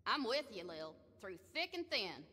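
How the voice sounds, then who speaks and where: A woman's voice: medium pitch, big pitch swings, moderate pace, sharp tone, in a large hall. An actress playing a brash, blunt, foul-mouthed young woman in a video game cutscene. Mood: loyal, teasing.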